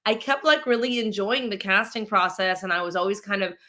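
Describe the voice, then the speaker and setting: A woman's voice: medium pitch, a little fast, pushed and bright. A woman from Mexico being interviewed as an actress and producer, telling her story in a casual, chatty, informal way. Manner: chatty, self-quoting, informal.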